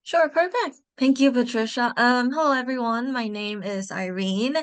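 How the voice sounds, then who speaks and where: A woman's voice: fairly high pitch, normal speed, clear, resonant tone. A young woman from Taiwan, an MBA student, introducing herself on a panel in a chatty, upbeat way with small restarts.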